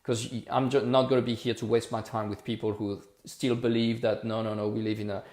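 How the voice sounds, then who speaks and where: A man's voice: fairly low pitch, little pitch movement, a little fast, darkish tone. Impassioned man, echoey room. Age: 40s. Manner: impassioned, repetitive, rhetorical. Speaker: livestream host. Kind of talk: casual livestream talk.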